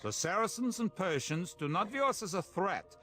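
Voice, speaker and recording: A fairly high, lively male voice as a dutiful crusader soldier in a strategy game. He is earnest and military, with faint music underneath. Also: normal speed, exaggerated voice. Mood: resolute. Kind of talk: theatrical game voice acting.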